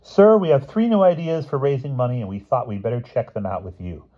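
A man's voice: medium pitch, a little fast, muffled recording, voice pushed up, natural voice. A man voicing a brash, undaunted schoolboy in a children's storybook, eagerly persuasive and respectful. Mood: eager pitching.

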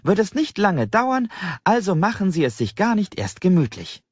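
A man voicing a brisk, commanding young woman project leader addressing a crowd in an audiobook, in a projected, organized announcing manner. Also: sits high, sing-song, darkish tone, dry recording, muffled recording, voice pushed up. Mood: brisk, firm. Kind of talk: audiobook character voice.

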